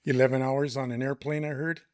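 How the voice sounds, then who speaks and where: A male interviewer asks casual, friendly questions and laughs along with his guests. His pitch sits in the middle range. The recording is dry and close. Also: level tone, brisk, no echo.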